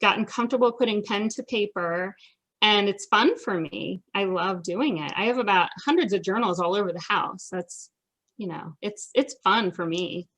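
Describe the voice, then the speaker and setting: Chatty American woman with medium-pitched voice. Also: normal speed, a bit bright, rough recording. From United States. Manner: chatty, enthusiastic. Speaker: interviewed children's author. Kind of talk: casual personal storytelling.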